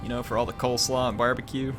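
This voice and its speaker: A man's voice: medium pitch, flat delivery, racing, fairly bright tone, faint music behind. A man hosting a gaming channel, giving playful, jokey live commentary on a battle and chatting with his viewers.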